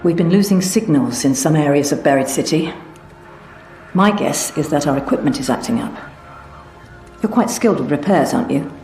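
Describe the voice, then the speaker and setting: A female intelligence officer from a sci-fi game, with a low-pitched voice. She briefs in a measured, matter-of-fact and analytical way. The sound is slightly roomy, with music underneath. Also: expressive, dark tone. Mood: measured, focused. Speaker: mission-giving game character. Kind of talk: scripted game dialogue.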